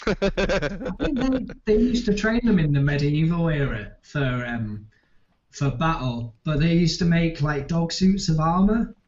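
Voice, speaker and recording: A man's voice: fairly high pitch, a little slow, a little mellow. A man co-hosting a show with casual, joking banter, on a dull lo-fi microphone. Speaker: podcast co-host.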